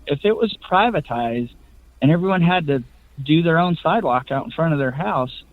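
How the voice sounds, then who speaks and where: A man's voice: fairly high pitch, creaky, dry recording. A man calling in to a radio show, earnestly making a rambling point. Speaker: caller. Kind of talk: call-in radio comment. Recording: dry tinny phone line.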